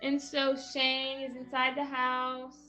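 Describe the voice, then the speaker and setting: A woman's voice: high voice, monotone, slow pace, sharp tone, soft background music. A woman giving a step-by-step craft tutorial for children in an encouraging, instructional way. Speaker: craft lesson host.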